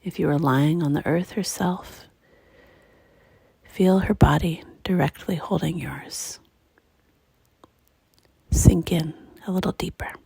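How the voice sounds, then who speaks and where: A woman leading a guided meditation in a hushed, breathy, gentle and unhurried way, leaving long pauses between phrases. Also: deep voice, no echo. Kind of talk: guided meditation, slow and soothing.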